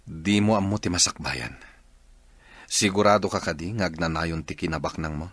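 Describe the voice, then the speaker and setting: A man's voice: low voice, normal speed, a bit bright, no echo. A man playing an exasperated, reproachful husband in a Filipino radio drama, speaking with a Filipino accent.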